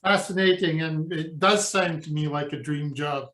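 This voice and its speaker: A man's voice: a little high, normal speed, fairly bright tone. A man in his sixties interviewing conversationally over a video call from a furnished room. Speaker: interviewer, teacher. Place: furnished home office or living room. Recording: roomy, echoing video call.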